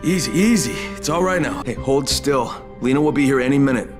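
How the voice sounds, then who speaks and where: A man's voice: medium pitch, expressive, brisk, lightly acted. An actor playing a sarcastic, exasperated undercover operative in his thirties in a video game cutscene. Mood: urgent, concerned. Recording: loud game music behind.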